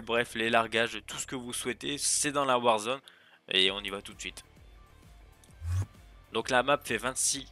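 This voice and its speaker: Bright-voiced man showing off a game server. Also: medium pitch. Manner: chatty, hesitant with many fillers and restarts. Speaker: server owner presenting a game server. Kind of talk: casual gameplay showcase narration.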